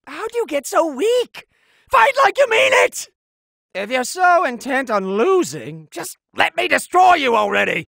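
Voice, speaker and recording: A man voicing a pompous, petulant, gluttonous fat cat god of destruction in an anime video game, with a gravelly, strained, blustering delivery. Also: very high-pitched, bright, forceful tone, no echo, clean studio sound, heavily acted. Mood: irritated, threatening. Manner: bratty, blustering, imperious.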